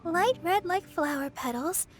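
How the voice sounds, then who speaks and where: A woman's voice: extremely high pitch, expressive, unhurried, faint music behind. A woman voicing a cheerful, boisterous little girl in a video game, with eager, childlike, simple delivery. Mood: earnest, curious. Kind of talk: animated game character acting.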